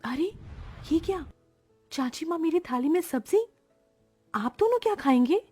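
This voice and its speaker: A very high-pitched young woman in a cartoon story, voiced by an actress, affectionate and childlike in her eagerness. Her delivery is expressive, with soft music underneath. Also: unhurried. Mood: caring. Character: sweet, eager, affectionate niece in her twenties. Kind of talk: Hindi cartoon story dialogue.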